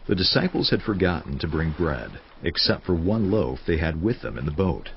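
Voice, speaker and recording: An actor playing a grave Bible narrator, telling scripture in a measured, solemn dramatic style. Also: male voice, low-pitched, big pitch swings, gravelly, slight background noise, exaggerated voice. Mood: solemn storytelling. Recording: dull sound under prominent music. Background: music.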